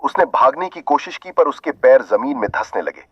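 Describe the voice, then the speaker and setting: A man's voice: medium pitch, animated, moderate pace, husky, no room sound. A man narrating a scripted horror story with dramatic storytelling delivery. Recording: dry, dull lo-fi audio.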